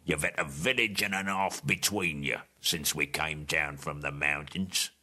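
A man voicing a huge, coarse male troll with a slow, gruff manner in an audiobook. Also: a bass voice, fairly even intonation, pushed and bright, full character voice. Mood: grumbling. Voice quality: gruff, slow, rough dialect. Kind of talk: audiobook character voice.

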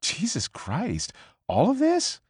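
A man's voice: sits high, wildly swooping pitch, slow pace, a little mellow, breathy edge, no echo, clean studio sound, pitched above the performer's own voice, exaggerated voice. A man doing a hesitant, flustered young woman. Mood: amazed. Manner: hesitant, questioning. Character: unsure young woman, new at work, easily flustered. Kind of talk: audiobook character voice.

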